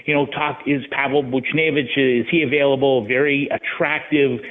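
A man giving casual sports analysis as a guest over the phone, rambling and halting as he thinks. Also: mid-range voice, fairly even intonation. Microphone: phone call. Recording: tinny narrow phone line.

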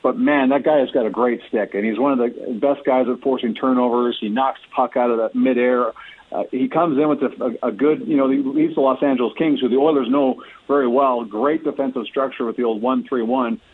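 A man's voice: medium pitch, fairly even intonation, fairly quick, breathy edge, dry recording. A man talking through hockey analysis conversationally as a guest reporter, often restarting his phrases as he goes. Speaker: hockey team reporter and podcaster, radio guest. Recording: dull lo-fi radio call-in audio.

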